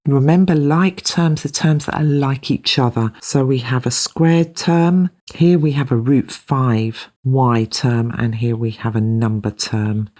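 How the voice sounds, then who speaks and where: A woman's voice: a bass voice, a little slow, hardly any pauses, a little mellow. A woman from the UK with a British accent, giving a methodical, step-by-step lesson as a maths tutor. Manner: methodical, explanatory. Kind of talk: step-by-step instructional explanation.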